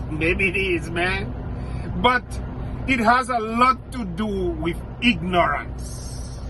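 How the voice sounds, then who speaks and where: A man's voice: high-pitched, expressive, extremely slow, noisy background. A middle-aged man from Dominica with a Dominican accent, speaking bluntly and repeating his points for emphasis. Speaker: vlogger. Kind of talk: outspoken direct-to-camera commentary.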